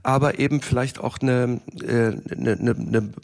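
A man's voice: medium pitch, steady tone, measured pace, vocal fry, a little mellow. Hesitant, reflective German man. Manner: reflective, hesitant, many filler sounds. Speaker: interview guest, writer. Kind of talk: thoughtful interview talk.

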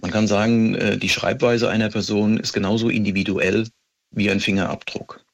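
A middle-aged man answering interview questions as a police expert, explaining in a methodical, precise and measured way. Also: fairly low pitch, flat delivery, vocal fry, lo-fi sound.

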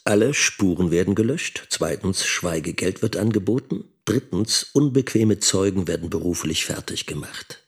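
A middle-aged man talks in a lowish, husky voice. He is a critical teacher and father in a radio drama, lecturing point by point with emphasis. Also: exaggerated voice. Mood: didactic, sardonic. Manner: didactic, enumerating, emphatic.

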